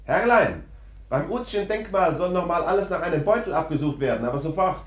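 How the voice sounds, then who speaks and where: An actor in a German radio drama playing a gruff investigating official, distrustful and commanding, with a brusque, scornful manner. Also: male voice, fairly high pitch, expressive, fairly quick. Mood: brisk, commanding.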